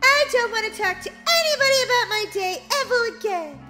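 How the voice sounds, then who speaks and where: A woman's voice: very high voice, lively intonation, a little fast, pushed and bright, soft background music, full character voice. A woman voicing a whiny, easily angered young girl in a children's roleplay story, with emphatic delivery. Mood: angry. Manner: indignant, emphatic.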